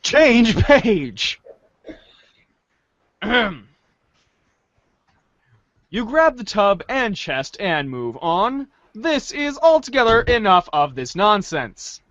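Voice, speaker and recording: A man with a high voice reads a script theatrically. His pitch swings widely, though his pace is slow. The podcast sound is slightly muffled. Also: sing-song, fairly bright tone, no echo. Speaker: podcast reader. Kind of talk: theatrical read-aloud of a webcomic script.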